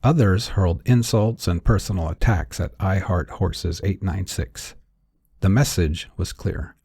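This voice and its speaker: A man narrating scripted noir fiction in a measured, wry, theatrical storyteller's manner. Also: deep voice, gravelly, dark tone, husky, no room sound, studio quality. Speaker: narrator and author.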